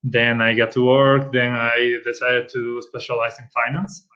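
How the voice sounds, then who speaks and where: A woman's voice: very deep voice, flat delivery, a little slow, fairly bright tone. A woman guest talking casually on a video call, agreeable and a little hesitant. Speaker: guest having a portfolio reviewed. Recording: dull lo-fi video call audio.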